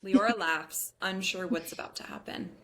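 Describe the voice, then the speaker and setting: A woman's voice: medium pitch, steady tone, normal speed. A woman reading scripted narration and stage directions at a table read.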